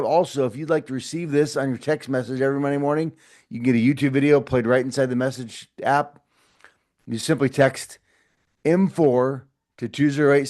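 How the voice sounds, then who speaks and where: A man's voice: medium pitch, darkish tone. Earnest man giving a motivational pep talk. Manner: earnest, repetitive, encouraging, with many restarts. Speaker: motivational podcast host. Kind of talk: casual motivational talk to camera.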